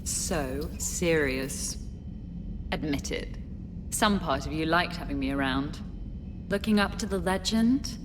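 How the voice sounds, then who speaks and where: A mocking female villain in a video game. She drawls slowly and silkily in a lowish voice. It rings in a reverberant, noisy space. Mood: mocking, smug. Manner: taunting, drawling, silky. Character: sly, mocking, seductive female villain, captured traitor. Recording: reverberant hall with clear background noise.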